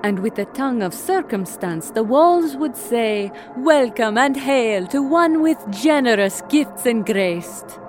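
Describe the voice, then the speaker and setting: A woman acts out a highborn young lady in an audio drama. She is florid, welcoming and ceremonious in a fairly high voice. Music is clearly heard behind. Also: lively intonation, slow pace, few pauses, low-quality recording. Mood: lyrical, admiring. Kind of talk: audio drama acting with recited verse.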